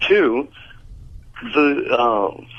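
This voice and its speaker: A man's voice: medium pitch, sing-song, extremely slow, dry recording. A man calling in to a radio show, arguing his points casually over a phone. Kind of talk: casual argumentative call-in chat. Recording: dull, band-limited phone call.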